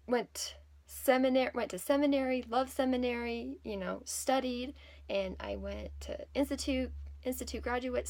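A woman in her thirties telling a personal story in a casual, unscripted way, halting and often correcting herself. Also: medium pitch, creaky. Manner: halting, with many self-corrections. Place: small plain room indoors at home. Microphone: phone or laptop at arm's length.

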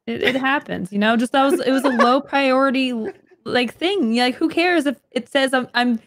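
A woman's voice: sits high, normal speed. A woman in her twenties, a guest on a casual video chat, rambling and poking fun at herself. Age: 20s. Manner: rambling, self-mocking.

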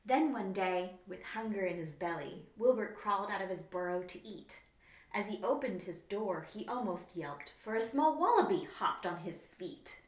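A woman narrates a children's storybook with expressive read-aloud delivery. Her voice is mid-pitched, and the small room adds a clear echo, as if recorded a few steps from the camera. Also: normal speed. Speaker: children's author reading her book. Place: small plain room indoors. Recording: roomy, echoing indoor room.

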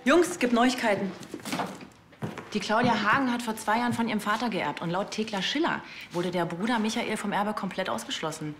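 Actress as a fast-talking female police colleague. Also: medium pitch, slight background noise, lightly acted. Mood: brisk. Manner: brisk, informal.

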